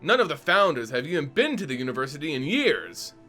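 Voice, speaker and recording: A man's voice: a little high, big pitch swings, a little fast, bright, forceful tone, faint music behind, full character voice. A man reading aloud who voices a proud, determined sorceress, speaking in clipped, defiant lines. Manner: pleading then defiant, clipped. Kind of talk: dramatic story reading.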